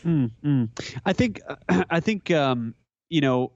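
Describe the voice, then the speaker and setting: Halting man, dry sound. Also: fairly high pitch, expressive, no room sound, dull audio. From German-speaking Switzerland. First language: Swiss German. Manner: halting, full of restarts and repeated words. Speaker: musician guest. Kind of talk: casual interview answers.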